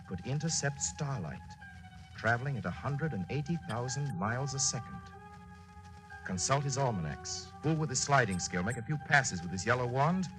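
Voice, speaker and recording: A theatrical narrator of a 1940s wartime radio drama. He is wry and folksy with a storyteller's dramatic flair, in a middle-range male voice. Music plays clearly behind him on an old radio recording. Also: medium pitch, sharp tone, low-quality recording.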